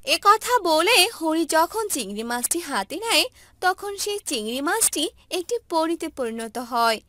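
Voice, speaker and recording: Woman, cartoon storyteller, high bright voice, dry close sound, lively scripted narration. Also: a little slow, no room sound.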